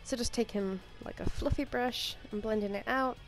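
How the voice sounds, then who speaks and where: A woman's voice: a little high, fairly even intonation, moderate pace, poor audio. A young woman with a slightly stuffy voice from a cold, rambling casually through a makeup tutorial with plenty of ums and likes. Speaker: makeup vlogger. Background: faint music.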